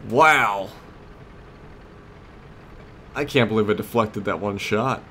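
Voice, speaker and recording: Low-voiced man commentating on a video game. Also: slow pace, sharp tone. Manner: thinks aloud in short tactical remarks. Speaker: gamer, host of a let's play series. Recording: clear background game noise. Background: vehicle and battle sounds of a tank game.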